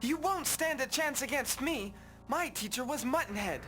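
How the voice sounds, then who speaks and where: A woman's voice: high voice, expressive, normal speed, fairly bright tone, slight background noise. A woman voicing an earnest, brave young apprentice hero in a video game, polite and resolute. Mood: defiant. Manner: earnest, formal. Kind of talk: video game cutscene acting.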